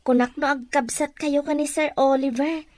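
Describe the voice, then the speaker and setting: High-voiced, sweet young woman, played by an actress. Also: fairly even intonation, normal speed, darkish tone, no echo, close to an everyday voice. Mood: polite, flattering. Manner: polite, respectful, addressing elders as ma'am, sir, nana. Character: sweet, deferential young woman from a poor family. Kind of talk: Filipino radio drama acting.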